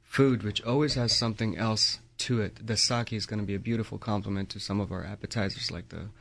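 A man with a low, flat voice talks as a sommelier guest in a radio studio. He speaks hesitantly, with lots of fillers. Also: brisk, vocal fry. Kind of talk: radio interview.

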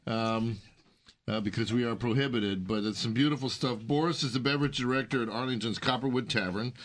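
A man's voice: medium pitch, brisk, a little hiss or hum. A man co-hosting a food radio show, joking and chatting casually with guests. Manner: joking, interrupts with quips. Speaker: radio co-host.

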